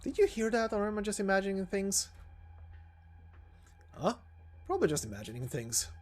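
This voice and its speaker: A man's voice: high-pitched, wildly swooping pitch, normal speed, soft background music. A man voicing wary soldiers on a frozen border patrol in a game story, with terse, questioning military talk. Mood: puzzled, alert.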